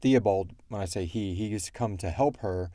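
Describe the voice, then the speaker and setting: A man's voice: fairly low pitch, normal speed, warm tone, dry recording. A man chatting casually as he explains story lore in a rambling way, like a creator answering listeners. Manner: rambling, quotes characters in brief asides.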